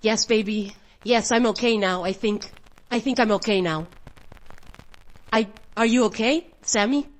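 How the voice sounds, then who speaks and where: A woman's voice: a little high, unhurried, natural voice. A woman playing an anxious, protective mother in a horror radio drama, soothing but hesitant and shaken. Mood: shaken, tender.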